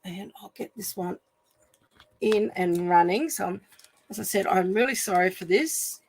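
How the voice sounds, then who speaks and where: Woman, croaky gravelly voice, casual live stream chat, apologetic, room at home, phone camera. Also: medium pitch, a little slow, darkish tone, rough recording. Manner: chatty and apologetic while fixing her camera. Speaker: live stream craft demonstrator.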